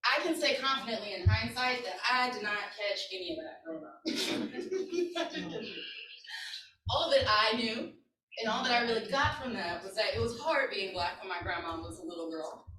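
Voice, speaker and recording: A woman's voice: on the high side, moderate pace, long pauses, background noise. A woman in her thirties presenting a workshop and telling a personal story to an audience in an earnest, conversational way, often checking in with her listeners. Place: conference room with an audience. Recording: echoey room, distant mic.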